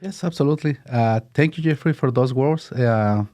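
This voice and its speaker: A man in his fifties from Mexico, a native Spanish speaker with a Mexican accent, speaking as a guest in a casual interview and often pausing to search for words in English. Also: medium pitch, dark tone.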